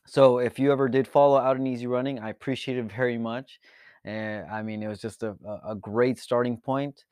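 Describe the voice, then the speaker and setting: A man from Mexico and the United States giving an informal, reflective monologue as a channel host, speaking earnestly and haltingly, repeating words for emphasis. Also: mid-range voice, darkish tone, dry recording, studio quality. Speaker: podcast and YouTube channel host.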